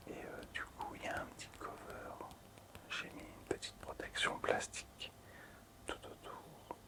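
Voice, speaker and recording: Whispering man, soft ASMR host. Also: a little slow. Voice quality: breathy, unvoiced whisper. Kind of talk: whispered ASMR presentation of collectible cards.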